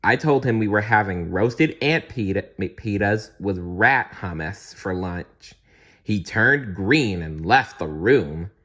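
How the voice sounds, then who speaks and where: A man voicing a kind, playful young princess in a gentle, earnest storytelling manner for a bedtime storybook. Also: lowish voice, expressive, slow pace, lo-fi sound, exaggerated voice. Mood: mischievous.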